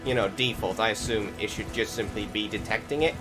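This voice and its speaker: A man giving casual gameplay commentary, rambling and halting, often correcting himself as he goes. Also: lowish voice. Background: game music.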